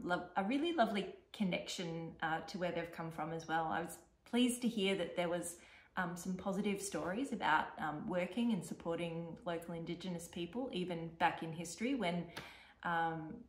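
A middle-aged Australian woman with a fairly low voice chats casually in an echoey living room. She goes along haltingly, thinking aloud as a podcast co-host. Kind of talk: casual chat showing knitting projects. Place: living room, seated on a couch.

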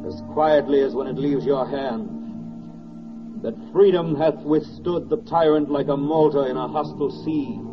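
A man's voice: a little high, close to an everyday voice. Reverent male narrator, performed by an actor, declamatory 1940s radio oratory, muffled old recording over orchestral score. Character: solemn male narrator praying over a war's end.

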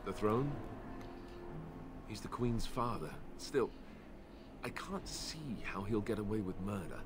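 A man's voice: lowish voice, animated, slow pace, background noise. A young man acting an earnest, anxious warrior in a fantasy game, hesitant and naive in his delivery. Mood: bewildered, hesitant. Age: young adult.

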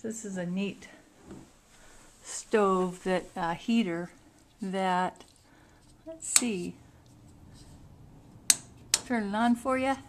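A woman's voice: mid-range voice, drawn-out words, long pauses. Lady showing folks round a rental house, takes her time, short little phrases, noisy handheld camera sound. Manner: short descriptive phrases while walking about. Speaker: vacation rental host giving a house tour. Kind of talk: casual walk-through narration. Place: indoors, moving through rooms of a house. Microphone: handheld camera's built-in mic.

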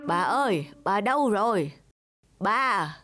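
A woman's voice: mid-range voice, lively intonation, slow pace. Woman voicing an anxious old husband, music behind. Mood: worried. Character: anxious, loving elderly husband in a cartoon. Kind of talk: cartoon dub acting.

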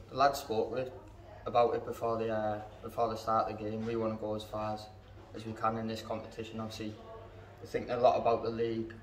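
A young man answers as team captain after a match, matter-of-fact and leaning on fillers. His voice stays almost completely level in pitch. The room is echoey and the mic sits well away from him. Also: recorded from a distance. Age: young adult. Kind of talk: post-match interview. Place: indoors in front of a club sponsor backdrop.

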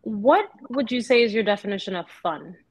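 Nigerian-born woman asking brisk questions. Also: mid-range voice, expressive, slightly rough. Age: 30s. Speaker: dating show contestant. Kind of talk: casual video call chat. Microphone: video call.

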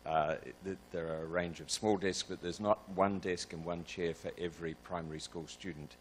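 Deep-voiced New Zealand man asking. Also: steady tone, normal speed, a little hiss or hum. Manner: rambling, restarts, hesitant. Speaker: audience member asking a question. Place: large lecture hall.

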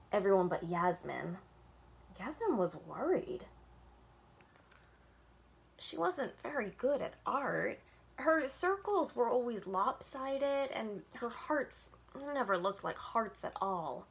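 Animated woman reading a storybook aloud. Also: medium pitch, slow pace, gravelly. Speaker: children's librarian. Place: home room with bookshelves, indoors. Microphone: webcam at desk distance.

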